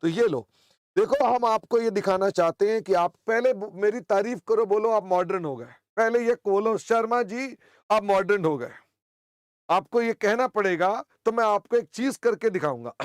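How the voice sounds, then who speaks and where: An older man teaching accounting in an online class, hoarse, chatty and teasing, often clearing his throat. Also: high voice, no room sound, studio quality.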